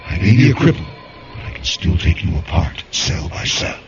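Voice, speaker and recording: A man's voice: sits low, expressive, moderate pace, creaky. An actor playing a bitter, menacing man in an alien body, commanding and threatening, in a vintage sci-fi radio drama. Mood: menacing rage. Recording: muffled old radio, loud music underneath.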